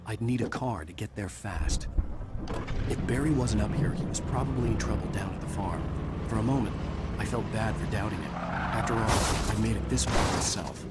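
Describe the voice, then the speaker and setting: An actor playing a terse, sardonic American writer hero, narrating and talking in a video game with a dry, serious manner. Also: male voice, on the low side, moderate pace, very noisy, close to an everyday voice. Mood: brooding narration. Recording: loud music behind the voice.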